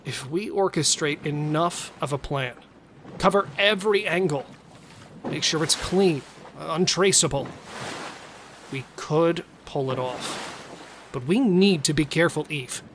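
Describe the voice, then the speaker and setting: Man playing a higher-voiced, intense male killer. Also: unhurried, long pauses, a bit bright, a little hiss or hum. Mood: wary, cautioning. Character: cautious male serial killer, wary yet bloodthirsty lover. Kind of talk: audio thriller acting.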